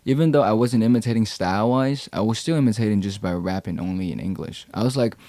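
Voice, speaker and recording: A young man with a low, mellow voice talks in a relaxed, slangy way. He is recorded dry and close in a studio, with no room sound. He sounds like a rapper chatting easily as a podcast guest. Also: fast talker, no room sound. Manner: laid-back, slangy, quotes people in raised voices. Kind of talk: casual podcast conversation.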